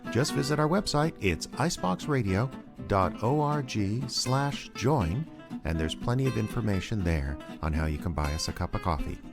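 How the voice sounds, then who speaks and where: A man's voice: lowish voice, lively intonation, moderate pace, vocal fry, music in the background. A man reading a scripted fundraising announcement as an announcer.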